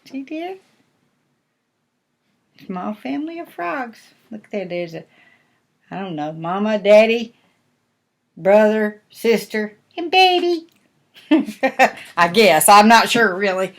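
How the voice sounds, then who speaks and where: Grandmotherly woman, older, for picture-book reading; unhurried, mid-pitched, gently chatty and explanatory. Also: expressive. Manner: gentle explaining, folksy, addressing young children. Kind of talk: storybook read-aloud with chatty asides.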